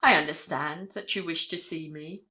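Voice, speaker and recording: A self-assured young newspaperman, voiced by a woman narrator. He speaks in a clipped, courteous way, with a bright and forceful tone at a lowish pitch. It is character dialogue from a read novel. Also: moderate pace. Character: confident, brisk young newspaperman.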